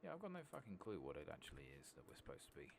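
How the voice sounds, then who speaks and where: Deep, gravelly soft-spoken man. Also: wildly swooping pitch, racing, a little hiss or hum. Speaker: host of a gaming ASMR playthrough. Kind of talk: soft-spoken ASMR game commentary with some whispering. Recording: keyboard typing and mouse clicks behind.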